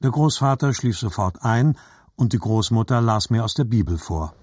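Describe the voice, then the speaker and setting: An actor narrating as a grown man remembering his childhood, measured and reflective, in scripted literary style. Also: mid-range voice, expressive, a little mellow, dry recording, studio quality, lightly acted.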